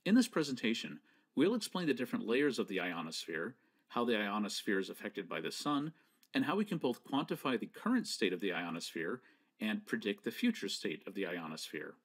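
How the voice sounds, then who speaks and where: Lively-pitched man narrating a scripted lesson. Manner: measured, didactic, precisely enunciated. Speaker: presenter. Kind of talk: scripted educational narration over slides.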